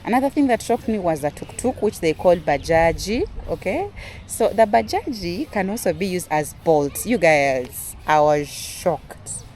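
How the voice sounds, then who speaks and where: Excitable Ugandan woman vlogging outdoors. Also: lowish voice, unhurried, slight background noise. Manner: excitable, chatty, addresses viewers as "you guys". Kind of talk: casual vlog talk to camera. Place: outdoors in a garden.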